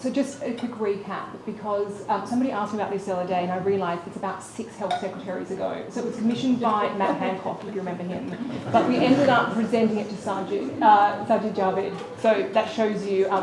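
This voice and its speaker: A woman on a panel, answering a question at a brisk, articulate pace. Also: mid-range voice. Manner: brisk, articulate, policy-focused. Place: conference room with audience. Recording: echoey room with background noise.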